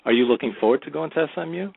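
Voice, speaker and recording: A man hosting a talk radio show, rambling rapidly and repeating words as he asks questions. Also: a little high, expressive, gravelly, slightly rough, no room sound. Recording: tinny phone-quality audio.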